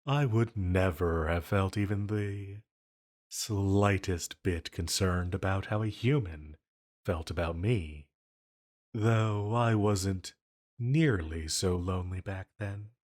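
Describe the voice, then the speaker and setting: A man voices an ancient, banished male entity in an intimate audio drama. His voice sits low and he takes his time over every phrase. He is flirty and a little self-conscious, a lonely villain who hesitates as he muses. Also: darkish tone. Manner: flirtatious, hesitant, unhurried musing. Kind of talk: intimate scripted roleplay audio.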